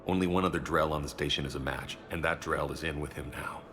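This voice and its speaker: A sober doctor in a video game. His voice is extremely low, and he talks frankly in a grave, measured manner. Music runs underneath. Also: steady tone, fairly bright tone. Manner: measured, grave, matter-of-fact. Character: frank, sober hospital doctor delivering bad news.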